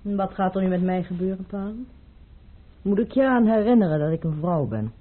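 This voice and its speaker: An actress playing a tender, sorrowful baroness held captive, speaking in an intimate, pleading way in a radio drama. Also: female voice, mid-range voice, lively intonation, a little fast, close to an everyday voice. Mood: uneasy. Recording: muffled old radio play recording.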